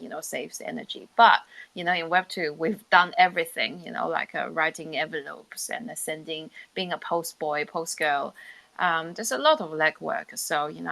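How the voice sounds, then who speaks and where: Bright-voiced woman chatting casually, lower register. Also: vocal fry, poor audio. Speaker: music artist and co-host. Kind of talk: casual group audio chat.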